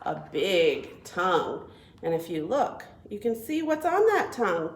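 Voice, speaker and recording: A woman working as a children's librarian, hosting a read-aloud storytime in an animated, encouraging way with simple phrasing for small children. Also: fairly low pitch, unhurried, reverberant.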